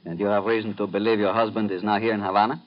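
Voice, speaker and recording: A courteous police lieutenant, played by a man, who speaks in a measured way. His voice is somewhat low. The recording is dull, like a vintage radio broadcast. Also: level tone, natural voice. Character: polite male police lieutenant in Havana. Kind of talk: 1950s radio drama acting.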